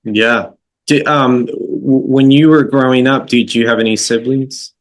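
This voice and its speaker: A man hosting a podcast interview, speaking slowly and gently, affirming his guest with soft fillers. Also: medium pitch, fairly even intonation. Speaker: podcast host.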